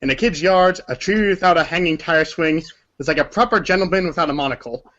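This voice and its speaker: Higher-voiced man narrating, dull lo-fi sound. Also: fast talker, a bit bright, a little hiss or hum. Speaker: narrator of a group readers' theater. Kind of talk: read-aloud storybook narration.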